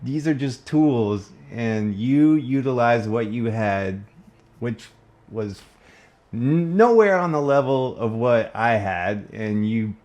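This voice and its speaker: A man's voice: medium pitch, expressive, slow pace, darkish tone. A man who makes videos, chatting casually with a friend in an effusive, slangy way. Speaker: video creator, challenge contestant. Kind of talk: casual chat between friends.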